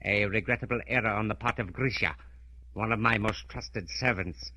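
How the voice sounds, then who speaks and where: A criminal mastermind of old radio drama, with a sharp, forceful voice. He is unhurried and precise, wrapping every command in cold, formal courtesy. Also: lowish voice, slight background noise. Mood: smug, measured. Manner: formal, precise, menacingly polite. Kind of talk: 1940s radio acting.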